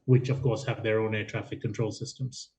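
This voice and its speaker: A man speaking as an expert guest on the news, explaining off the cuff in a measured, hesitant way with repeated words. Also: fairly low pitch, little pitch movement, a little mellow. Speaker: aviation consultant, interview guest. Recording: dull, lo-fi remote link.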